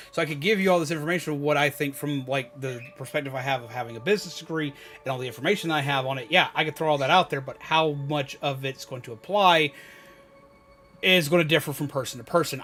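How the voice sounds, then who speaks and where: High-pitched man rambling on stream. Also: a bit bright. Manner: rambling, emphatic repetition, casual swearing. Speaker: gaming streamer and host. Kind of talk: casual livestream chat, giving advice to viewers.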